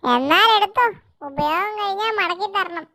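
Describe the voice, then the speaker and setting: Boastful male gadget inventor, cartoon, very high pitch, bright, explanatory, put on by a storyteller. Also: moderate pace. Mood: obliging. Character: eccentric male inventor proudly showing off gadgets.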